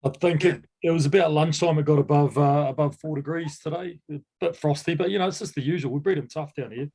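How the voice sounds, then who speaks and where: Kiwi male co-host, quick husky voice, dry humour, remote call. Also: fairly high pitch, darkish tone. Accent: New Zealand. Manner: dry, joking. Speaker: podcast co-host.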